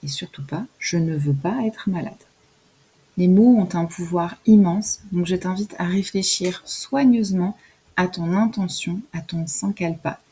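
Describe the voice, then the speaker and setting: A woman leading a scripted guided relaxation in a soft, soothing, instructional way, as a yoga nidra guide. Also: fairly low pitch, measured pace, husky.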